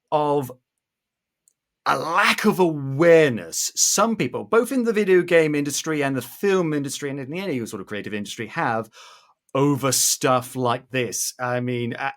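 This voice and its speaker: A British man in his thirties hosts a podcast in a mid-range voice. He gives animated, opinionated commentary and leans on key words with theatrical emphasis. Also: medium pitch, a little slow. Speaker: podcast host.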